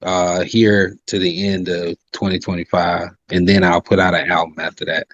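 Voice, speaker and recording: A man's voice: flat delivery, moderate pace, a bit bright, no echo, lo-fi sound. A man, talking casually as an interview guest, polite and full of "you know" fillers. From South Carolina. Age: 30s. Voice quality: high-pitched voice by his own account. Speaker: rapper and singer, interview guest.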